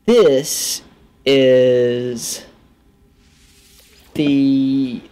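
A man in his thirties giving casual gameplay commentary, halting and muttering to himself as he names his discoveries. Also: mid-range voice, fairly even intonation, mellow. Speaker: gaming video host. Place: small indoor room at a computer.

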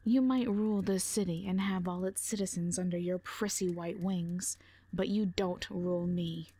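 A woman's voice: fairly low pitch, steady tone, normal speed, mellow, no echo, close to an everyday voice. A woman playing a brash, defiant mercenary in an audio drama, with a blunt, sardonic manner. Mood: sardonic, firm. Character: brash, defiant female mercenary, protective and unimpressed.

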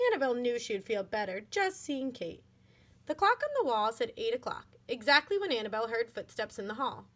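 A woman reading a children's book aloud like a schoolteacher, in an expressive, deliberate manner. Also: fairly high pitch. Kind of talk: children's storybook narration.

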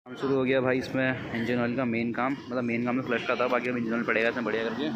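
A man's voice: mid-range voice, completely flat delivery, quick pace, muffled recording, a loud crowd. A young man vlogging casually on a phone at a bike service shop, chatty and constantly addressing viewers as brother. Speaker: motovlogger. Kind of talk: casual vlog narration to camera. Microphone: phone camera.

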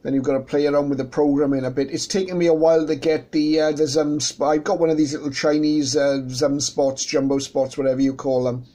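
A man's voice: a little high, level tone, a little fast, few pauses, warm tone. A man talking casually as an amateur radio operator, explaining things in a conversational way. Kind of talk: casual radio contact chat. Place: indoors beside radio equipment.

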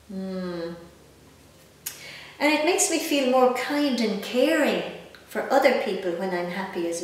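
A woman in her fifties with an Irish accent reads a picture book aloud to children, expressively, with chatty asides and questions. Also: mid-range voice. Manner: animated, gently expressive, addressing young listeners with questions. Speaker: guest storyteller. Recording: echoey room, distant mic, faint noise.

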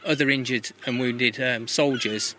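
A man with a bright, forward voice answers interview questions, hesitant and halting. Faint outdoor ambience can be heard around him. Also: medium pitch, fairly even intonation, slight background noise. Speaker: team member.

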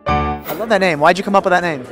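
A man's voice: high voice, fast, over loud music. A man in his thirties hosting an upbeat entertainment interview, putting questions to a guest. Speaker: interviewer, show host. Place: backstage area at a convention, indoors. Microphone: handheld interview microphone.

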